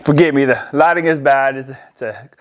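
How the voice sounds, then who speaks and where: An American man with a mid-pitched voice talks through a product unboxing indoors. His delivery is informal and explanatory, with some hesitation as he works through each point. He sounds like an installer showing customers the gear. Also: brisk. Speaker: audio-video installer presenting a product. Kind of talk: casual product unboxing and demonstration. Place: indoors in a house, near a window.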